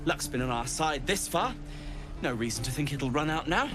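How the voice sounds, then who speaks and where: An actor playing an easygoing, hopeful refugee in a fantasy game, with wry, relaxed talk. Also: male voice, a little high, normal speed, over music, natural voice.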